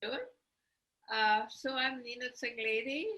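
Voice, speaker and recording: An older woman introducing herself as a curator, hesitant and full of pauses. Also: fairly high pitch, steady tone, bright, forceful tone. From Hungary. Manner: hesitant, many uhs. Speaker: curator guest. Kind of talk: self-introduction.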